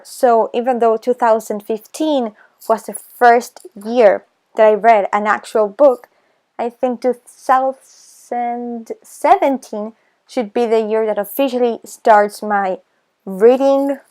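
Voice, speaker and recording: Slow, hesitant Argentinian teen girl. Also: fairly high pitch, darkish tone. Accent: Argentinian Spanish. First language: Spanish. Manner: slow, hesitant, self-conscious, many pauses. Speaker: booktuber. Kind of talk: casual voice-over vlog.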